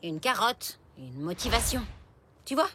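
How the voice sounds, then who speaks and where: A woman's voice: medium pitch, big pitch swings, a little slow, heavy background noise. A woman voicing a savvy, haughty female bird in a cartoon, wry and self-assured. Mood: wry, knowing. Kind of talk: cartoon dub acting. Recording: loud cartoon music behind the voice.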